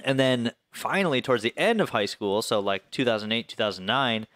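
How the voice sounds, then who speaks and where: An American man of thirty with an average-pitched voice, recorded close and dry. He talks informally, as a podcast co-host swapping stories with friends, and swears casually. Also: brisk, fairly bright tone, no room sound. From Flint area, Michigan, US. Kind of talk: casual chat, personal storytelling.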